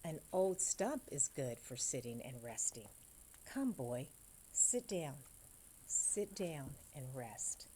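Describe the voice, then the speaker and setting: A selfless old female tree from a storybook, as a woman reader acts her out, speaks in a low, mellow voice. She is weary and giving, with soft, sighing, half-whispered words over faint background noise. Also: sing-song, takes it slowly, exaggerated voice. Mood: warm, inviting.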